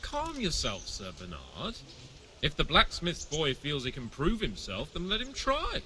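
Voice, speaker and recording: A sneering young lord from a medieval video game. His voice is very bright and cutting, on the high side, with swooping, condescending inflection. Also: huge pitch swings, a little slow, heavily acted. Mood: smug challenge. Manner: condescending, arrogant.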